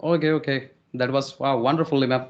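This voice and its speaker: A man's voice: medium pitch, fairly even intonation, a little fast, lo-fi sound. Polite Indian man, many fillers. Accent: Indian English. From Odisha, India. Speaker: interview guest, English learner. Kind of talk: casual conversational interview.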